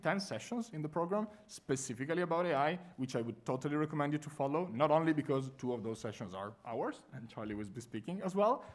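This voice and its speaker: A man with an Italian accent presenting a conference workshop to a live audience, talking in a friendly, lively way with frequent fillers. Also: mid-range voice. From Italy.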